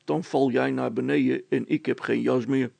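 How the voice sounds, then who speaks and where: A reluctant village policeman from a storybook, played by a male reader. He grumbles and frets in a hesitant, plaintive way. The voice is husky and gravelly. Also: medium pitch, steady tone, moderate pace, a little mellow, no room sound. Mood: worried.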